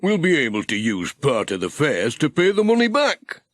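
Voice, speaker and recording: A man's voice: medium pitch, wildly swooping pitch, moderate pace, a bit bright, no room sound, voice pushed up. A man voicing a cheery, practical village handyman in a children's storybook. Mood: eager.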